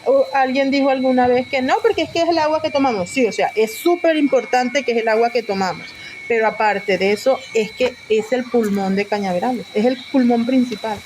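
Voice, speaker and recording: A woman's voice: on the high side, fairly quick, noisy background. A woman speaking off the cuff in an interview, emphatic, repeating points and asking rhetorical questions. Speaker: local community leader interviewee. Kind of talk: spontaneous interview talk.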